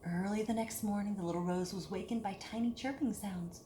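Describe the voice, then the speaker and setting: A woman reads a children's story aloud in an echoey room, with some noise on the recording. Her medium-pitched voice is expressive and deliberate, dropping to a hush and lifting for emphasis. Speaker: storyteller reading a picture book aloud to children. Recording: echoey room with clear background hiss.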